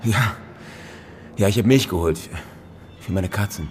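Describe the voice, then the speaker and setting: Actor as a low-voiced, prim, evasive neighbour. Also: male voice, a little mellow, faint music behind, lightly acted. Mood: halting. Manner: guarded, fastidious, halting. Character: reserved, prim, evasive male apartment-block neighbour. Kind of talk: naturalistic radio drama dialogue.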